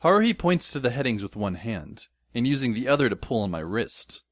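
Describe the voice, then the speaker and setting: A man's voice: mid-range voice, very animated, fairly quick, dry recording. A man playing a wry, sardonic teenage schoolboy who narrates his adventure as dry, descriptive audio drama storytelling. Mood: wry narrating. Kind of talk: scripted first-person narration in audio drama.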